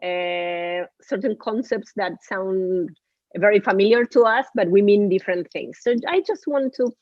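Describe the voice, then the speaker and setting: A woman's voice: medium pitch, unhurried, darkish tone, no echo, muffled recording. A woman from Argentina with an Argentinian accent, giving a lecture on a webinar. She talks earnestly and often restarts and repeats words. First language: Spanish. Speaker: professor and webinar speaker.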